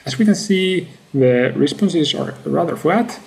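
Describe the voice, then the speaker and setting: Creaky-voiced man. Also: on the high side, expressive, unhurried. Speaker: researcher presenting a paper. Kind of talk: scripted academic slide presentation.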